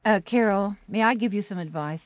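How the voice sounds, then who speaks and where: A woman's voice: mid-range voice, fairly quick, dry recording, close to an everyday voice. An American actress playing a worldly, wisecracking stage woman in a radio drama, tart and blunt. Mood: cautioning. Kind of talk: 1980s radio drama acting.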